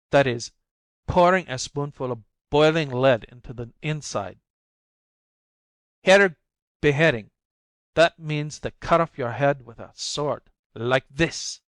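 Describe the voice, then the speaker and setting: A man's voice: mid-range voice, fairly bright tone, no room sound, lightly acted. Hectoring storybook husband, read by a man, raised voice, dry booth. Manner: hectoring, lecturing. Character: blustering scholarly husband threatening his wife. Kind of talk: audiobook reading.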